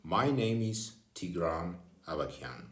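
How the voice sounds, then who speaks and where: An older man, a retired professor and security consultant, giving a personal video address in halting non-native English with pauses and restarts. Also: deep voice, very animated, fairly bright tone, echoey room.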